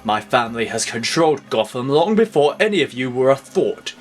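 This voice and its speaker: Guy as a proud gangland boss, grandiose, animated pitch, audio drama with faint music. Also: a little fast, natural voice. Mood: indignant. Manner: formal, grandiose. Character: proud, scheming male mob bosses.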